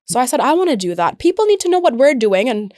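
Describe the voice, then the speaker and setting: A woman's voice: high voice, very animated, fast talker, a little mellow, no room sound. Chatty young woman from the UAE, very dry sound. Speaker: podcast guest, air traffic controller and comedian. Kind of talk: casual conversational storytelling.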